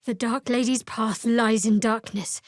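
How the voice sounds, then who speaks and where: Woman acting guarded, sardonic cleric, higher-voiced. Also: fairly even intonation, unhurried, no room sound, lightly acted. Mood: composed, solemn. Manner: dry, guarded, wry delivery. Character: guarded, sardonic young female cleric of a dark goddess. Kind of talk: fantasy game voice acting.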